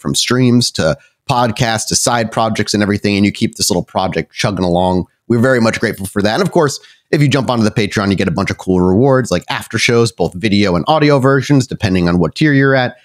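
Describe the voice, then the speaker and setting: Low-voiced man narrating as game master. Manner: dramatic, cinematic scene-setting. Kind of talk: narrating a tabletop roleplay podcast.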